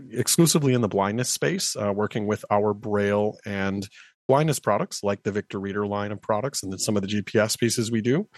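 Upbeat man with a lowish voice. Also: no echo, studio quality. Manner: upbeat, enthusiastic, with fillers. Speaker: director of partnerships guest. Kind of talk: casual interview talk.

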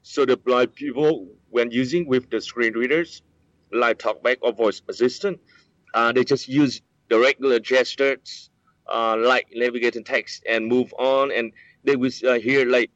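A man's voice: mid-range voice, steady tone, unhurried, a bit bright, no echo. Hesitant man, dull lo-fi sound. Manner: hesitant, many fillers and restarts. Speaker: app developer, interviewee. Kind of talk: explaining, casual interview.

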